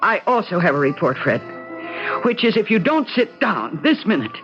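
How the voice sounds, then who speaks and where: An actress playing a bitter, restless middle-aged politician's wife in an old-time radio drama, with a pointed, wry delivery. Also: female voice, lowish voice, sing-song, normal speed, music in the background. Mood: exasperated. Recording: muffled vintage radio broadcast, loud background sound.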